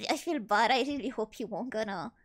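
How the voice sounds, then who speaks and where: A woman's voice: a little high, brisk, noisy background. A woman livestreamer with a childlike, cutesy voice, chatting casually and playfully with her viewers and prone to squealing.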